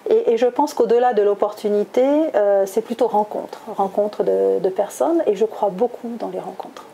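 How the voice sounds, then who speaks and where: A woman's voice: mid-range voice, steady tone, normal speed, vocal fry, warm tone, a little hiss or hum. A middle-aged woman from southwest France answering interview questions conversationally, with many hesitations and self-corrections. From Toulouse, southwest France. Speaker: interviewee, executive recruiter.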